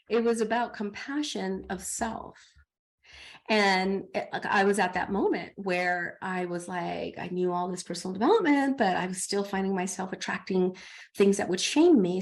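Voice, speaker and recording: A middle-aged woman coach talking in a podcast interview, animated, acting out her own inner dialogue. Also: medium pitch.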